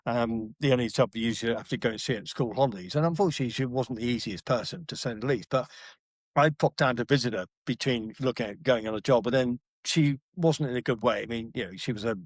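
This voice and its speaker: A man's voice: medium pitch, fast talker, no echo. Middle-aged man, blunt ex-forces storyteller, mid-range voice, dry close mic. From Britain. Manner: blunt, frequent restarts and fillers, salty slang. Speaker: podcast guest. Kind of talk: casual storytelling interview.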